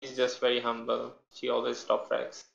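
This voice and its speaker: A man from Pakistan, a team coach speaking haltingly with many fillers. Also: mid-range voice, completely flat delivery, creaky, a bit bright, echoey room, muffled recording.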